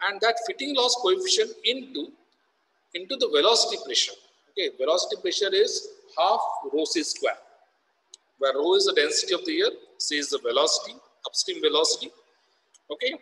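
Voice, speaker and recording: A man's voice: fairly high pitch, bright, forceful tone, big-room sound, rough recording. A man, an engineering professor explaining a technical topic over slides, repeating key terms and saying "okay" often. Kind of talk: recorded lecture over slides.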